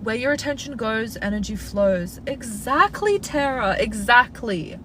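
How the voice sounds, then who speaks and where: A woman's voice: a little high, lively intonation, a little slow, fairly bright tone, slight background noise. A young Australian woman with Russian as her first language, casually answering viewer questions on a livestream with lots of "like" fillers. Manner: chatty, frequent "like" fillers, reassuring advice-giver. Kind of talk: casual live Q&A. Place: inside a parked car.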